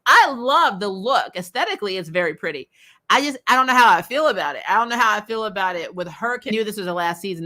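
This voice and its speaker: An American woman hosting a casual recap show, chatting in a blunt, animated, opinionated way with informal language. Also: medium pitch. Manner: animated, frequently laughing, blunt and informal with mild swearing. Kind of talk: casual opinionated fan chat, reading and answering comments.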